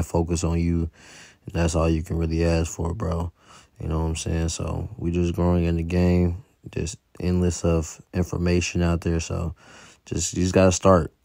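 Young adult American man, very low voice, dry close recording, fitness vlogger, casual slangy encouragement. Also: creaky, dark tone, no echo. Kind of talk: casual motivational voice-over.

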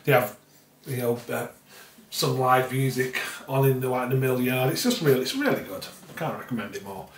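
A man's voice: mid-range voice, expressive, normal speed, a little hiss or hum. Middle-aged man rambling in a roomy kitchen. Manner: rambling, repeats himself, many fillers. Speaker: beer reviewer. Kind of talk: casual beer tasting review. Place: home kitchen, indoors. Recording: roomy, slightly distant sound with faint music.

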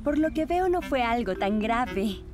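A woman's voice: a little high, expressive, a little slow, over music. A woman voicing a sensible teenage cartoon schoolgirl with a dry, teasing manner. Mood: sarcastic. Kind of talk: Spanish-language cartoon dub.

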